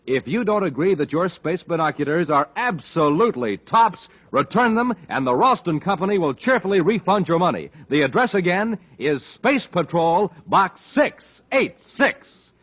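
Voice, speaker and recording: A man's voice: sits high, sing-song, normal speed, few pauses, a bit bright, breathy edge. An American man working as a 1950s radio announcer, delivering scripted lines with emphatic, dramatic showmanship. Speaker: announcer, narrator. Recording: dull, lo-fi vintage radio recording.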